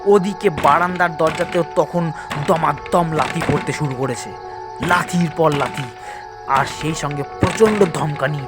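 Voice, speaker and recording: A man's voice: on the high side, measured pace, loud music behind the voice. A man narrating a scripted first-person horror story in a dramatic, suspenseful way.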